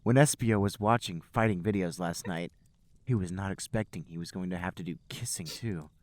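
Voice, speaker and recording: A man's voice: sits low, normal speed, darkish tone, no room sound. A man, a podcast host, reading fan fiction aloud as a storybook-like narration.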